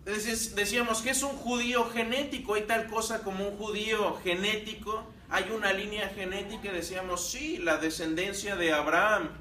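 A man's voice: high-pitched, fairly even intonation, moderate pace, few pauses, sharp tone, reverberant, distant mic, a little hiss or hum. A man from Mexico teaching a Bible class, talking to the room and putting questions to his students. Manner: conversational, asks the class questions. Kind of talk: church class lecture.

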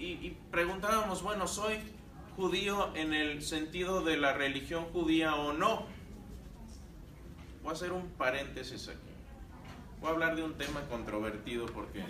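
A man's voice: a little high, sharp tone, roomy sound, far from the mic, a little hiss or hum. A man from Mexico teaching a Bible class, talking to the room and putting questions to his students. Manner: conversational, asks the class questions. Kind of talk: church class lecture.

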